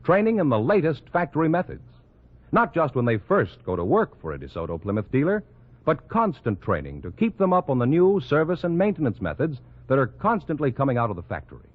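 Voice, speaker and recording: An American man announces in classic mid-century radio style. His scripted read is highly animated and sits a bit high. The old broadcast sound is dull, with some music. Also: a little fast. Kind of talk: scripted 1940s-50s radio announcing and ad reading.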